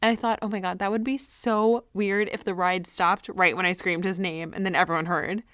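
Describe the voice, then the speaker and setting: A woman playing an excitable, self-important teenage girl who rambles dramatically through a confessional audio diary. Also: mid-range voice, a little fast, dry recording, studio quality, natural voice. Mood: giddy, excited. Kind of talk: confessional audio diary monologue, naturalistic acting.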